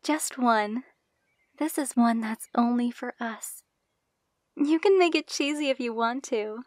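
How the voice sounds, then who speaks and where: A woman's voice: fairly high pitch, measured pace, no room sound, clean studio sound, lightly acted. A woman playing an affectionate, playful young bride in an intimate ASMR roleplay, speaking tenderly with a giggly, teasing manner.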